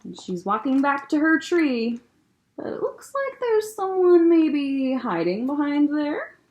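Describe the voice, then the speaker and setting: A woman librarian reading a picture book aloud to children, gently commenting on the pictures. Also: high voice, very animated, unhurried. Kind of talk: children's story time read-aloud.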